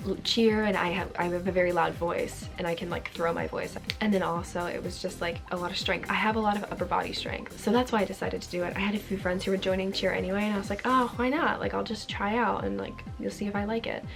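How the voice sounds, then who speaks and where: A woman's voice: medium pitch, fairly even intonation, fast talker, gravelly, few pauses, music in the background. A young woman chatting casually in a vlog, answering fan questions with lots of "like" fillers and the odd swear word.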